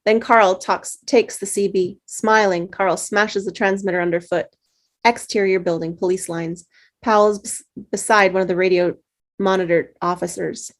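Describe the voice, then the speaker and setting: A woman reading the stage directions at a script table read, steady with small slips. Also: medium pitch, moderate pace.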